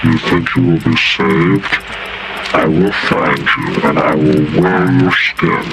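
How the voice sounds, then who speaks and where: Menacing analog horror creature played by a man: deep, coaxing, commanding, under very heavy noise. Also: little pitch movement, takes it slowly. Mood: menacing threat. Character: menacing skin-stealing entity, coldly coaxing and commanding. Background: loud noise.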